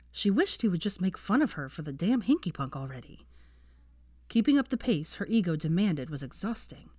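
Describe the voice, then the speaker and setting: A woman reading a story as a scripted narrator, with an expressive, dramatic delivery. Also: on the low side, dry recording, clean studio sound. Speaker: narrator of fan fiction readings.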